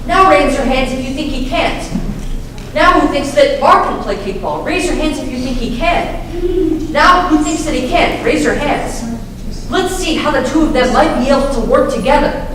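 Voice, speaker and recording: Woman hosting kids show, high voice, simple questions, echoey n a bit noisy. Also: moderate pace. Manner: clear simple questions to children. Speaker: puppet show presenter. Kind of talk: children's educational presenting, questioning audience. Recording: very echoey, distant, faintly noisy room.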